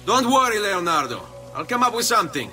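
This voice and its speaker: Charming Italian adventurer, lively intonation. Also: male voice, high voice, brisk, recorded from a distance, soft background music, exaggerated voice. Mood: resolute. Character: cocky, charming young Italian nobleman and adventurer. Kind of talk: video game cutscene acting.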